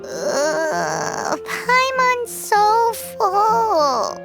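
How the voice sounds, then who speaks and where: Tiny cheeky fairy sidekick for anime game: extremely high squeaky child voice, slow, very animated delivery, music behind. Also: female voice, creaky, heavily acted. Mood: groaning, overfull. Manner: exclamatory, refers to self by name.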